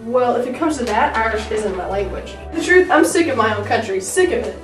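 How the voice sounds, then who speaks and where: A woman's voice: on the high side, expressive, brisk, over music. A young woman, a student, reading a male lead's lines in an amateur school drama. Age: young adult. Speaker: student playing Gabriel Conroy. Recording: echoey room from far off, background noise.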